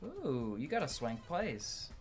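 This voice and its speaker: A man's voice: mid-range voice, wildly swooping pitch, slow pace, soft background music. A man hosting a gaming playthrough, chatting casually in slang and thinking aloud as he plays. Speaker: gaming video host.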